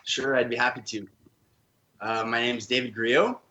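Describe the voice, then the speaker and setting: A man's voice: mid-range voice, steady tone, normal speed, bright, forceful tone, muffled recording, a little hiss or hum. A man who grew up in Canada, a podcast guest telling his own story in an earnest, open way. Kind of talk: casual interview talk.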